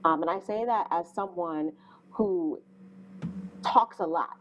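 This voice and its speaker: A woman's voice: fairly low pitch, slow, a little hiss or hum, rough recording. A woman co-hosting an interview, speaking earnestly and thoughtfully with a big voice and many fillers. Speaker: co-host. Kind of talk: interview questions.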